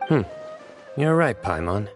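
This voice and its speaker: An actor voicing a stern young male judge in a game, calm and deductive in a measured way. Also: on the low side, soft background music.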